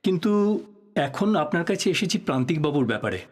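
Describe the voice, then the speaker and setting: Husky, expressive male journalist. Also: a little high, fast. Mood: polite, reflective. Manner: polite, probing, musing to himself. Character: earnest, persistent male journalist probing his old teacher's death. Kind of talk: Bengali audio drama acting.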